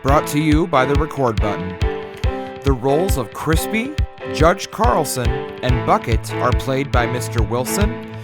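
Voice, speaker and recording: A man, one of the show's creators, reading the credits in a clear, steady way. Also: mid-range voice, a little slow, loud music behind the voice. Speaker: show creator and narrator.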